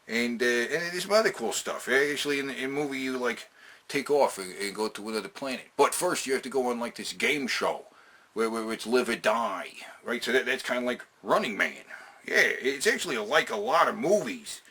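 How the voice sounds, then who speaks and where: A man's voice: mid-range voice, lively intonation, a little fast, bright, forceful tone, breathy edge. A man hosting a casual, opinionated countdown, talking in emphatic, sweary slang and repeating phrases to drive them home. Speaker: host of a video game and movie countdown.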